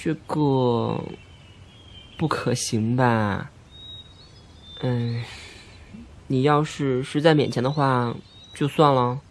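A man's voice: medium pitch, steady tone, takes it slowly, long pauses, slight background noise. A man playing a naive, good-natured young man, blunt yet hesitant, in an amateur audio drama. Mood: earnest, blunt. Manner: hesitant, guileless. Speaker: amateur voice actor.

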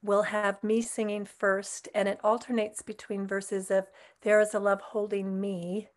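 A woman's voice: medium pitch, level tone, unhurried. Woman song leader chatting informally. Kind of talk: informal spoken announcement. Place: room at home.

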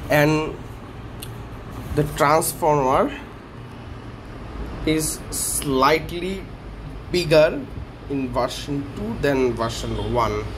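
A man hosting a hands-on tech teardown, speaking in short phrases with halting pauses. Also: fairly high pitch, animated, noisy background. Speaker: tech video host. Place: small indoor workshop room.

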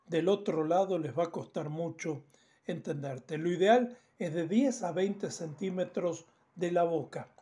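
A man's voice: high-pitched, warm tone. A man, giving an informal, didactic tutorial straight to the viewer as a channel host. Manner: didactic, addresses viewer directly. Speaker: amateur radio channel host. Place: small indoor room at home. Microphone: phone at arm's length.

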